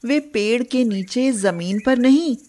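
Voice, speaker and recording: A woman's voice: fairly high pitch, slow pace, warm tone. A woman narrating a scripted children's moral story as a storyteller.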